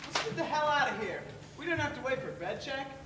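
A man's voice: extremely high pitch, animated, brisk. A young man playing a cocky, streetwise teenage schemer in a stage play. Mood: cocky, impatient. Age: teens to young adult. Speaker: stage actor. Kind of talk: amateur stage play dialogue. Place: theatre stage. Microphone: far camera. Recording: roomy, distant camera, noisy hall.

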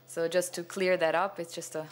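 Older man, halting lecture, fillers, stand mic on a cinema stage. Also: on the high side, little pitch movement. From Venezuela. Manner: hesitant, many fillers and restarts, gestures broadly. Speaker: cinematographer giving a masterclass. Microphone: stand microphone.

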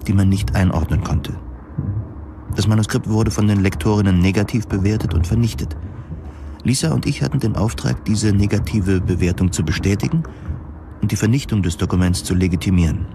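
An actor playing a troubled government reviewer in a dystopian future, narrating a science fiction audio drama in a measured, introspective way. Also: male voice, lowish voice, noisy background, lightly acted. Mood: pensive, restrained. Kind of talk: scripted first-person audio drama narration. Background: music.

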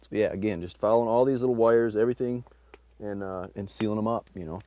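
A man in his thirties, an energy auditor, explaining an on-site home inspection in a relaxed way with many fillers, recorded in a house basement. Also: on the low side, fast talker. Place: basement crawl space and attic of a house.

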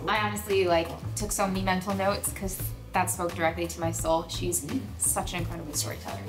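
A woman's voice: on the low side, level tone, a little fast. Young woman vlogging in an echoey room. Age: young adult. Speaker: host and campaign director. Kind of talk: casual vlog chat. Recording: roomy echo, music underneath. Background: music.

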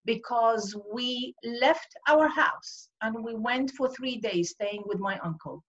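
A woman's voice: fairly high pitch, takes it slowly, fairly bright tone. A woman in her sixties from Iraq, earnestly recalling her life as a guest on a video call, often searching for words. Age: 60s. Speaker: guest speaker. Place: home room over a video call. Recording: dull lo-fi video-call audio.

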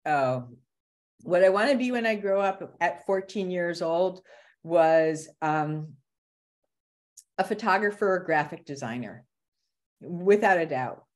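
Low-voiced woman on a video call. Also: slow pace, long pauses. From Boston area, USA. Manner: animated, restarts and repeats words. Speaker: guest, career strategist. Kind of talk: casual interview talk. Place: home room with shelves.

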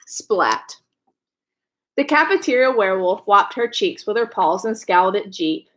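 A woman's voice: mid-range voice, animated, measured pace, fairly bright tone. A young woman reading a children's novel aloud in a storytelling style, indoors at home. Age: young adult.

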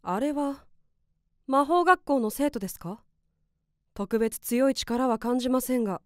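A woman's voice: sits high, a little slow, no echo, clean studio sound. A woman playing an earnest, deferential magician disciple in an anime-style voice drama, formal, polite and serious. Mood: grave, worried.

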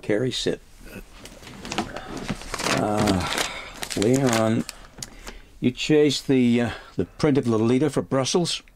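A man's voice: medium pitch, slow pace, pauses often, background noise, lightly acted. A man in a radio drama playing a commanding, exacting film director who speaks in terse orders and questions. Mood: brisk, exacting. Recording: loud music behind the voice.

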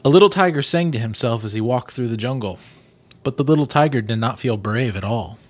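A man in his thirties reads a picture book aloud in a medium-pitched voice. He stretches words for effect and slips into casual commentary. He is close to a desk microphone, with very little room sound. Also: expressive, fairly quick, no echo. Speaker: host reading a children's book aloud. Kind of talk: storybook reading with casual commentary. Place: small plain room indoors. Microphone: close desktop microphone.

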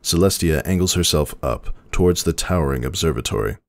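A male narrator delivers a dramatic story reading in an extremely low voice. The recording is dry, with hardly any room sound. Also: expressive, a little fast, vocal fry, dry recording.

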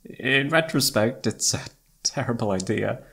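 Young male best friend played by a man, romantic audio roleplay. Husky with vocal fry, intimate, emotional, halting, self-reproachful. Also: medium pitch, sharp tone, exaggerated voice. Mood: regretful.

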